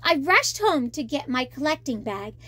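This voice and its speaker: A woman's voice: high voice, very animated, moderate pace, pushed and bright, no room sound. A woman reading a storybook expressively to children as a storyteller.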